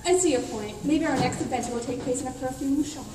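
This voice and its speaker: A woman's voice: sits high, fast talker, mellow, lightly acted. A woman playing a wisecracking, protective sidekick in a comedic radio play, sarcastic and quipping. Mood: wry. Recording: echoey hall, far from mic, faint noise.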